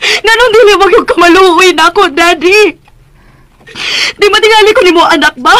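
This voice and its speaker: Indignant rich young daughter, extremely high voice, Visayan accent, defiant, melodramatic radio drama. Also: female voice, moderate pace, a bit bright, no room sound. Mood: hurt, indignant. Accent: Visayan Filipino. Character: defiant, wealthy young daughter resisting arranged marriage.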